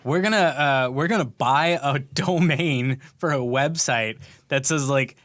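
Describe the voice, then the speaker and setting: A man's voice: mid-range voice, unhurried, fairly bright tone, no room sound, studio quality. A man co-hosting a podcast in casual chat, acting out his own thoughts as he tells stories. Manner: chatty, acts out his own thoughts in quotes. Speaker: podcast co-host.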